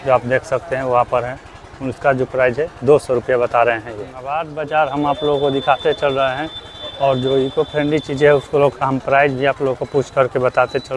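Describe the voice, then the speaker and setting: A young man from Bihar narrating a walking vlog at an outdoor crafts fair on a lapel mic, repeating himself and loudly asking vendors for prices. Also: medium pitch, a little hiss or hum. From Bihar, India. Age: young adult. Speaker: vlogger. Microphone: clip-on lapel mic.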